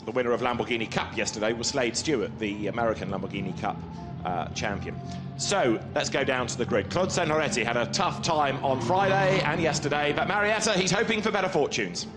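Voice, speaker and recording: A male race commentator, calm and explanatory, talks through the grid and the rules in a commentary booth. His voice sits mid-range. Music plays clearly behind him. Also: mid-range voice. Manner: measured, informative, explaining rules. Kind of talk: motorsport commentary.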